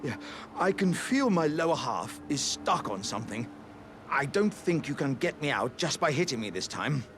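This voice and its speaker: Male actor as living warrior jar, jovial, earnest, courteous, theatrical, unhurried, very animated pitch, game dialogue over music. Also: close to an everyday voice. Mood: sheepish, hopeful. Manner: theatrical, old-fashioned courteous speech. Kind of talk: video game character acting.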